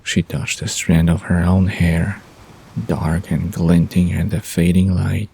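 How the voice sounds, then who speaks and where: A man narrating a bedtime story softly from a script. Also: sits low, little pitch movement, takes it slowly, vocal fry, breathy edge. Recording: soft guitar music behind the voice.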